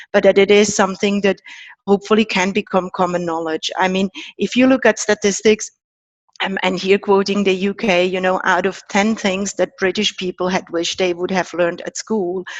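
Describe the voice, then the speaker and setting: A woman's voice: mid-range voice, no room sound, highs cut off. Earnest woman. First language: Czech and German. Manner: thoughtful, earnest, with fillers. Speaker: guest, startup co-founder. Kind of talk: podcast interview.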